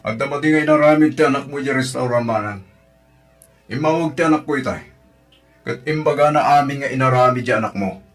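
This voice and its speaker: A man's voice: mid-range voice, a little fast, soft background music, close to an everyday voice. A man playing a stern uncle, a business owner, in a radio drama, firm and accusatory. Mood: suspicious, displeased. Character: stern, accusing uncle who owns a restaurant. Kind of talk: radio drama acting in Ilocano.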